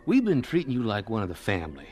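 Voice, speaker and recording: A man acting in an audio drama as a brisk, fatherly, well-meaning husband who gives firm advice. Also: lowish voice, huge pitch swings. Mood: insistent.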